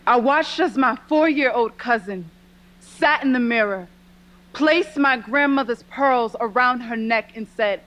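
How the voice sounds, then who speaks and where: A young woman delivering spoken word poetry in an impassioned, deliberate, dramatic way. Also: high voice, a bit bright, rough recording.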